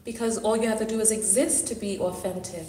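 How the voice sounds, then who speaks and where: A woman hosting a casual interview, questioning and interjecting in an animated way. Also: mid-range voice, fairly quick. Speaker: interview host. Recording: distant, echoey, noisy room.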